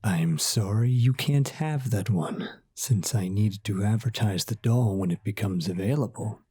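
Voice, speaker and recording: A man's voice: on the low side, unhurried, mellow, breathy edge, dry recording, studio quality. An actor playing a patient male shop owner in a radio drama, courteous and formal. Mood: politely firm. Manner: courteous, formal salesman patter.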